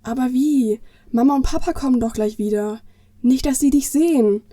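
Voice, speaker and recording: A woman's voice: high voice, moderate pace, warm tone, dry recording, clean studio sound. A woman playing an eager, curious young girl, slightly anxious, in a family Christmas audio play.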